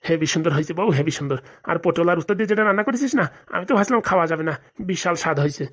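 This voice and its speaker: A woman's voice: fairly low pitch, expressive, very fast. A woman voicing a simple, good-natured village uncle who loves food, artless and rustic in a comic cartoon. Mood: delighted.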